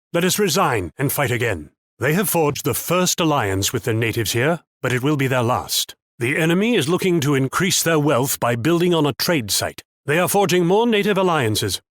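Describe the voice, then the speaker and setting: An actor playing a proud, wealthy military ruler in a video game, pompous and condescending in a theatrical way. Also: male voice, a little high, fairly bright tone, no echo, clean studio sound. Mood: resigned, grave, then wary, stern. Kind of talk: video game character voice lines.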